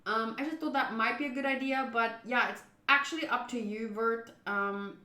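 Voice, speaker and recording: A woman chatting casually on a livestream about a visual novel, expressive and thinking aloud as she goes. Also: fairly high pitch, normal speed, reverberant. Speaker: streamer playing a visual novel.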